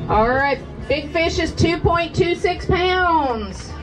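A woman's voice: very high-pitched, lively intonation, music in the background, poor audio. A woman announcing fishing tournament weights at an outdoor weigh-in tent. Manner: upbeat, brisk, loud. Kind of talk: announcing results.

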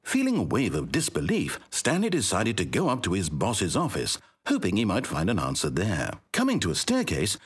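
An actor playing a pompous, needy all-knowing video game storyteller, with a British accent and an articulate, wry, melodramatic delivery. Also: male voice, fairly low pitch, slightly rough, heavily acted. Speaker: game narrator. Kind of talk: theatrical scripted narration.

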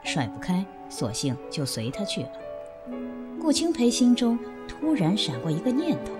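A woman narrating an audio drama from a script in storytelling style. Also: deep voice.

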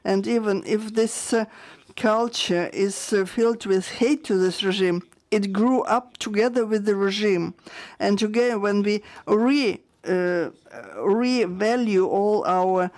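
Slow, halting woman interpreter. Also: mid-range voice, a little mellow. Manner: halting, searching for words, frequent restarts. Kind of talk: live interpretation of a panel discussion.

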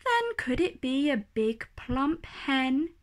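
A woman reading children's verse aloud as a storyteller, expressive and deliberate in her delivery. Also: high-pitched.

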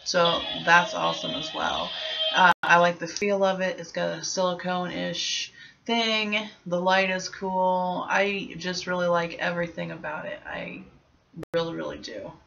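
A woman's voice: lowish voice, unhurried, creaky, a bit bright, lo-fi sound, soft background music. A young adult woman giving a casual, informal product review, thinking aloud as she goes in a small room at home. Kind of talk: casual unboxing review chat.

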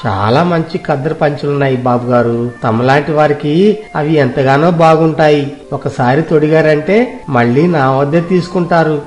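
A man's voice: a little high, fairly even intonation, normal speed, darkish tone, close to an everyday voice. A man voicing a kind, humble young village cloth peddler in a story, earnest, deferential and pleading. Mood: eager, deferential. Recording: roomy echo, music behind.

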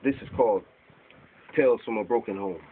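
A man's voice: on the low side, brisk, a little hiss or hum. A man in his forties reading his rhyming spoken word poems aloud at an open mic in a small bookstore, recorded by a camera across the room. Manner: reads from papers, rhythmic rhyming delivery, gestures while reciting. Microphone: far camera. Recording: tinny lo-fi camera audio.

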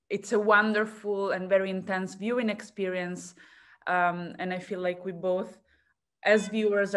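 A woman in her thirties moderating a film festival Q&A over a video call, warm and hesitant with frequent ums. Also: mid-range voice. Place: room with bookshelves.

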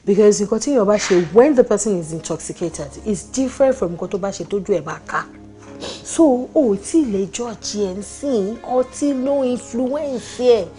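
A woman's voice: mid-range voice, warm tone, lightly acted. A middle-aged actress playing a mother who scolds and counsels her son-in-law. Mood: counselling. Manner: firm, scolding, switching Yoruba and English. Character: firm motherly Nigerian matron, stern counsel. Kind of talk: Nollywood drama acting.

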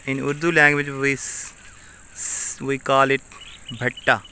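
A young man with a Pakistani English accent, a native Saraiki speaker, talks in a very bright, sharp tone. He gropes for words and fills the gaps with "uh" as he narrates to his phone held at arm's length. Faint rural sounds from the open fields sit behind him. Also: medium pitch, little pitch movement, a little hiss or hum. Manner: halting non-native English, many uh fillers. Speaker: village vlogger. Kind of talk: casual walking vlog. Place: outdoors in open farm fields. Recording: faint rural outdoor ambience.

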